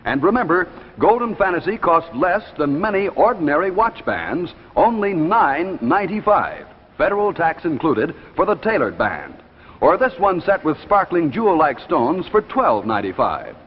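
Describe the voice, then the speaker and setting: An American man announcing and pitching products in a polished, emphatic 1950s television advertising style. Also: big pitch swings, normal speed, a little hiss or hum. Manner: polished, emphatic salesman delivery. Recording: muffled 1950s broadcast with hall reverb.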